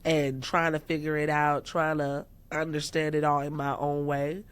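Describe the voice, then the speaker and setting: A woman's voice: sits low, normal speed, clear, resonant tone. A woman of thirty, a musician guest in a casual podcast interview, talking freely, laughing often and acting out what people said to her. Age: 30s. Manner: laughs often, animated, quotes herself in raised voice.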